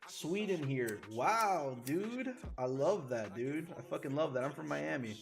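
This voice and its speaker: A man from Chile, a forex trader chatting casually and excitably with his live viewers, swearing freely. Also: on the high side, a little slow, creaky. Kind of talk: casual livestream commentary. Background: faint music.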